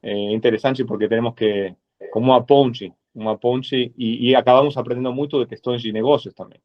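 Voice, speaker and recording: A man from Argentina with an Argentine Spanish accent, a lawyer speaking as a guest in a webinar, measured and full of hesitant fillers. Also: mid-range voice, fairly even intonation. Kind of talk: webinar presentation. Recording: dull lo-fi webinar audio.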